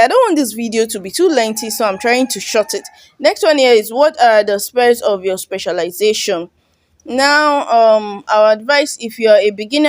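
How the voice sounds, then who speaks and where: A woman's voice: medium pitch, dry recording, clean studio sound. Chatty Nigerian woman explaining a website. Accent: Nigerian English. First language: Yoruba. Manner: chatty, repeats "you understand?". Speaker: online tutorial host. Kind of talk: casual screen-recording walkthrough.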